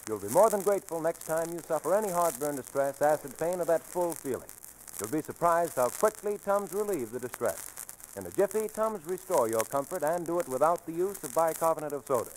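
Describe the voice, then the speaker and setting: A man's voice: on the high side, expressive, brisk. An American man reading a 1940s radio advertisement, emphatic and carefully enunciated, as a commercial announcer. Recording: noisy vintage 1940s radio recording.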